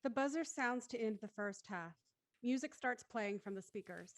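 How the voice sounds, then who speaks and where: A woman's voice: a little high, lively intonation, moderate pace, no echo. A woman reading stage directions as scripted narration for a drama.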